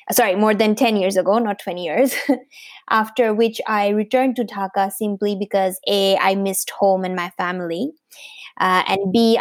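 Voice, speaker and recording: A woman's voice: medium pitch, dry recording. A woman in her thirties from Bangladesh, a startup founder, answering interview questions with many fillers and restarts. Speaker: guest, fashion startup founder.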